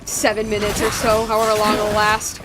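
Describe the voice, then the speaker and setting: A woman giving casual live commentary as she plays a game, excitable and rambling. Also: medium pitch, a little fast. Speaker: game streamer. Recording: game music behind the voice.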